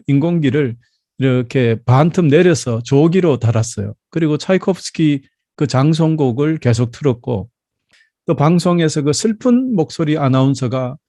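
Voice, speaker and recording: A man in his fifties from South Korea preaching a Sunday sermon as a pastor, telling personal stories in a measured, explanatory way. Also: a little high, lively intonation, dark tone, dry recording, clean studio sound. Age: 50s.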